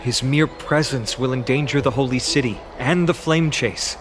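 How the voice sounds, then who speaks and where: An actor playing an earnest, determined young male hero in a video game, speaking in a measured, dutiful way. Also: mid-range voice, natural voice. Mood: resolute. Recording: studio voice over prominent music.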